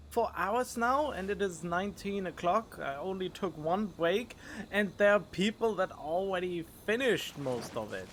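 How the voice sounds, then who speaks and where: A man gives casual let's play commentary as a game streamer. He speaks into a studio microphone. Soft game music plays faintly behind him. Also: high voice. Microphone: studio condenser microphone.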